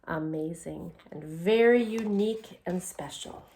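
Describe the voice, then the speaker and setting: A woman teacher reading a picture book aloud to young children, encouraging and deliberate, putting questions straight to her listeners. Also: fairly low pitch, mellow. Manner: encouraging, asks questions and answers them.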